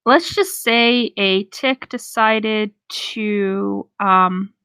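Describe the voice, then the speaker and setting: A woman's voice: mid-range voice, drawn-out words, pushed and bright, clean tone. A woman with a mid-range voice talks like a co-host, chatting and reading a story aloud. Her delivery is informal and rambling, with loose swearing. The sound is very dry, with no room echo. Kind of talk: casual chat and story reading.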